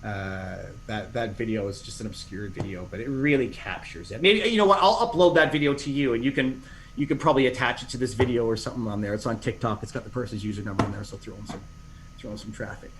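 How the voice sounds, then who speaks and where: A middle-aged man chats on a podcast, circling back on himself with fillers and restarts. His voice sits at an ordinary pitch, and a faint hiss of background noise hangs behind him. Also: quick pace. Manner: rambling, many restarts and fillers. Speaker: podcast guest. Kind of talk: casual interview chat.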